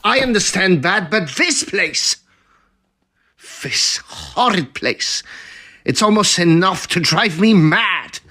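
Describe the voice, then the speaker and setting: A man's voice: high voice, animated, slow pace, sharp tone, exaggerated voice. A man playing a peevish, anxious villainous guardian in a theatrical old-style radio melodrama. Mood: uneasy. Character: uneasy, peevish Victorian villain, a scheming guardian.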